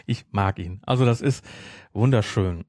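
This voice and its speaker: A man hosting a podcast in casual chat, with a halting, self-interrupting way of talking. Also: lowish voice, a little mellow, no echo. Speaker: podcast host. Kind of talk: casual chat, retelling a story.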